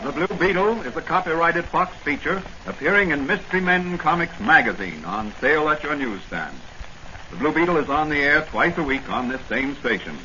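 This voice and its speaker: A man's voice: on the high side, lively intonation, normal speed, sharp tone. A man narrating a 1940s radio serial as announcer, in a dramatic, formal style. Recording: noisy, muffled old radio recording.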